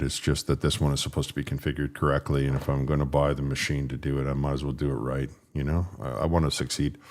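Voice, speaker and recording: A man with a deep, rumbling voice hosting a casual livestream, rambling and frustrated as he fixes his stream settings. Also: darkish tone. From Canada. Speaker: political livestream host.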